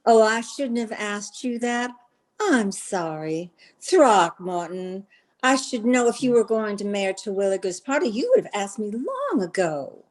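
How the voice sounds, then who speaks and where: A woman's voice: mid-range voice, very animated, unhurried. An actress as a flirtatious society lady in a vintage radio comedy. Her voice swoops expressively as she coaxes, gushes and pushes coyly to get her way. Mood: insistent, wheedling. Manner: coaxing, insistent, gushing. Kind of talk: old-time radio comedy acting.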